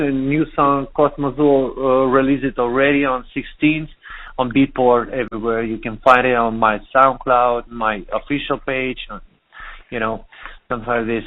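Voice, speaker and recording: A man with a Russian accent speaks in halting, non-native English over a thin, tinny phone line. He often stops to search for words, at an ordinary mid pitch. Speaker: DJ and producer.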